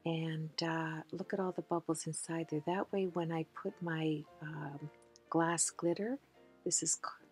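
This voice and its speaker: A woman narrating her own craft tutorial in a chatty, enthusiastic way, with many fillers. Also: fairly low pitch. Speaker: craft video host. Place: indoors at a craft table.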